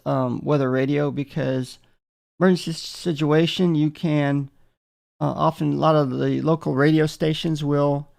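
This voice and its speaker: A man talks through a product demonstration in an informal, unscripted way. His voice sits a little high for a man but is thick with gravelly creak. He moves haltingly, with frequent pauses and fillers as he explains. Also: a little mellow. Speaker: product reviewer.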